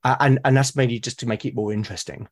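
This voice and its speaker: A British man speaking as a podcast guest in casual conversation, haltingly and often repeating words as he thinks aloud. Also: mid-range voice, steady tone. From Britain. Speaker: podcast guest, solo roleplaying gamer.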